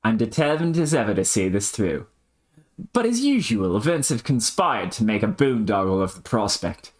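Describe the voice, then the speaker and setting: Someone acting an earnest young male adventurer, attempted Australian accent, quaint slang, hammy, lively pitch. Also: normal speed, close to an everyday voice. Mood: determined. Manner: hammy, quaint exclamations, stumbling over the accent.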